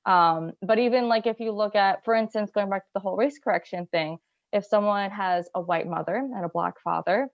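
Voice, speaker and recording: A woman's voice: mid-range voice, brisk, no echo. Rambling woman on a muffled call. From Iran. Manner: rambling, filler-heavy, thinking aloud. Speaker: journal club participant. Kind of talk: casual discussion on a video call. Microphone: video call. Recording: muffled dry call audio.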